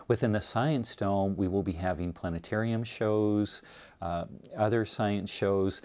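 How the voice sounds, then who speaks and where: Very deep-voiced man giving a presentation. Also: darkish tone. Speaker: college science faculty presenter. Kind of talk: informative presentation to viewers.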